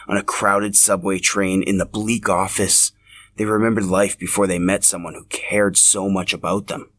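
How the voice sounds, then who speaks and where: A man with a low voice narrates a piece of fiction. He reads from a script in the role of a podcast host telling a story. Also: fairly bright tone.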